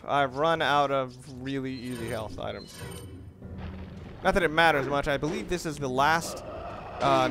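A man giving casual let's-play commentary as he plays, rambling and halting, often repeating and rephrasing himself. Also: fairly high pitch. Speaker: gaming video host, player. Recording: clear background noise under voice.

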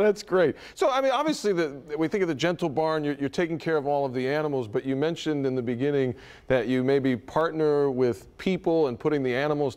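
A man's voice: a little high, a little mellow, smooth. A man news anchor in a TV studio, asking questions in a conversational way and often restarting his phrasing.

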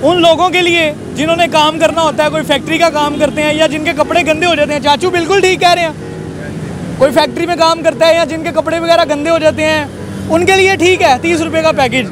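A man. He presents like an energetic market vlogger, repeating himself like a salesman. Traffic and a busy crowd are loud behind him on the open street. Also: very high voice, a little fast. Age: 20s. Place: outdoor roadside market. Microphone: handheld recorder held at chest. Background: crowd and passing vehicles.

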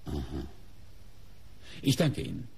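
An actor playing a coolly suspicious police inspector in a German radio crime play, questioning in a measured, deliberate way with pointed pauses. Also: male voice, noisy background, natural voice. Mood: suspicious, insistent.

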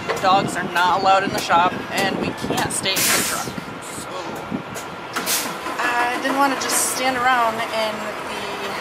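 Chatty woman vlogging over loud outdoor noise. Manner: chatty, talks to her dogs in a high coaxing voice. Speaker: truck driver vlogger. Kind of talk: casual vlog chat. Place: outdoors by truck lots and in a truck cab. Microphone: handheld camera at arm's length. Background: vehicle and outdoor noise.

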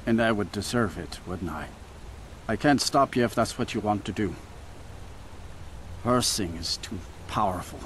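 Low-voiced, resigned fugitive shapeshifter. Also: male voice, animated, a little slow, long pauses, slight background noise. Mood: resigned, pleading. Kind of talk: scripted fantasy video game acting.

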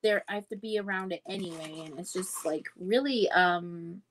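A woman's voice: on the low side, normal speed, fairly bright tone, a little hiss or hum, poor audio. Laid-back woman in casual chat. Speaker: book discussion co-host. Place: room at home, video call. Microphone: video call.

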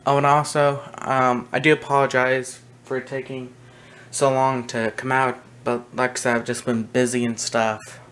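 Slow, halting man in a casual vlog. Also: medium pitch, flat delivery, a bit bright. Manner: hesitant, halting delivery with trailing asides. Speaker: video host, hobby collector. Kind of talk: casual unscripted show-and-tell narration. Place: small indoor room with wooden shelves. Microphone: handheld camera's built-in mic, behind the camera. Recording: noisy handheld camera audio, faint room noise.

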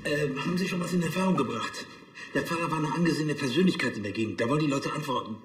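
An actor playing a status-conscious police chief in a TV crime comedy, speaking in a hesitant, slightly pompous way. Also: male voice, a little high, racing, lightly acted. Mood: official.

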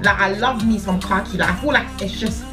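A young woman a hint of flavour talks straight to camera in her living room, chatty and playful, leaning into her punchlines. Her voice sits at an everyday middle pitch, and soft music plays faintly underneath. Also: fairly quick. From England. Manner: chatty, playful, animated with hand gestures. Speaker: vlogger. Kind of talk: casual chat to camera. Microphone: camera at desk distance.

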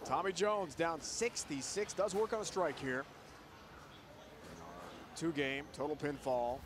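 A man calling a televised bowling match in short, clipped bursts, his voice sitting a little high. Clear arena noise runs under the broadcast. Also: slow. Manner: clipped, terse calls of each shot. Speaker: play-by-play commentator. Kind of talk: sports play-by-play commentary.

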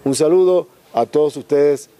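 An older man, a bishop giving a formal greeting in a measured, deliberate way outdoors. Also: a little high, warm tone, slight background noise. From Venezuela. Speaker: bishop, youth ministry leader. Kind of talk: formal group greeting to camera. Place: outdoors in a garden courtyard.

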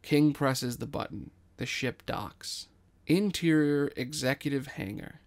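Creaky-voiced male narrator. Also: mid-range voice, a little slow, a little mellow. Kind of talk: scripted narration of stage directions.

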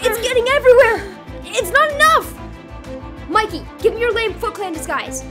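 A frantic teenage turtle boy in a comic dub, acted by a woman. The voice is very high, strained and halting, with urgent commands over a faint music bed. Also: lively intonation. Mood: panicked, urgent. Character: frantic, gruff-tempered teenage boy mutant turtle tending wounds.

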